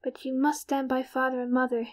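An actress playing a gentle, frail, resigned young woman of nineteen in an audio drama, speaking haltingly. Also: high voice, completely flat delivery, lightly acted. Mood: weary, resigned.

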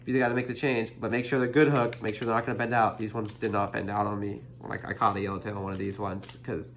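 A young man with a fairly low voice talks straight to the camera, chatty and earnest, stacking up fillers as he explains gear. A faint hum sits under the indoor recording. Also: little pitch movement, quick pace, a bit bright. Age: young adult. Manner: chatty, filler-heavy, earnest with frequent "like" and "you know". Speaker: fishing channel host. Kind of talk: casual tackle advice to camera. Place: indoor room in front of a printed banner.

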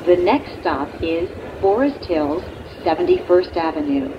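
Low-voiced woman announcing train stops. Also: very animated, measured pace, lo-fi sound. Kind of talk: scripted automated transit announcements. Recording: noisy train-car recording. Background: rail vehicle rumble.

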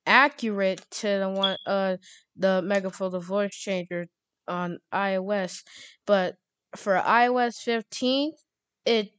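A woman hosting a casual software tutorial, speaking in a halting, drawn-out way. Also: mid-range voice, smooth, no echo. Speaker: tutorial host.

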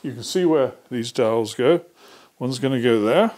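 A British man in his sixties presents a practical workshop tutorial. His voice sits at an average pitch, and he explains each step patiently. There is noticeable background noise with small clicks in the recording. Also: animated, normal speed. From Britain. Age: 60s. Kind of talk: hands-on assembly tutorial. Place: indoor workshop.

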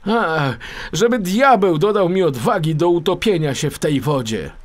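A man's voice: high voice, animated, moderate pace, voice pushed up. A man voicing a woeful, desperate fiddler who exclaims dramatically in a children's story. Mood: despairing.

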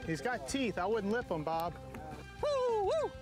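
A man's voice: extremely high pitch, huge pitch swings, measured pace. A man co-hosting a fishing show, cheering and whooping over catches out on a boat. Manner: cheering, "woo" and "oh my goodness". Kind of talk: excited on-boat banter. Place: outdoors on a fishing boat at sea. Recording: boat audio under loud music.

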